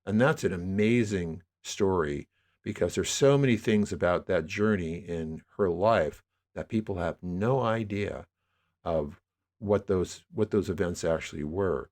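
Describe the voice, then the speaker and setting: A man with a fairly low voice talks as a podcast guest. He explains his creative work in a conversational, wordy way, often circling back to rephrase a thought. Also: a little slow, a little mellow. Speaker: podcast guest, audio drama producer.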